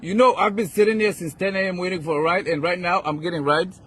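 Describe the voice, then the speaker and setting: A man's voice: high-pitched, fairly even intonation, quick pace, a bit bright. A man with a foreign accent, a rideshare driver, arguing in a challenging, indignant way on a video recorded outdoors. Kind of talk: confrontational argument caught on phone video. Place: outdoor parking lot. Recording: noisy outdoor street, vehicle sounds.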